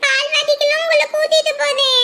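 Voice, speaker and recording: A chirpy little grandchild, as a performer voices the child, eager and bouncy with a piercing, bright tone. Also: very high voice, flat delivery, quick pace, a little hiss or hum, full character voice.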